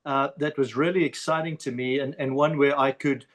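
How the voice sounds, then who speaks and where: South African man, halting and repetitive. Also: medium pitch, fairly even intonation, fairly bright tone. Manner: halting, repeats words as he starts a thought. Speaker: podcast guest. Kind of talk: casual interview talk.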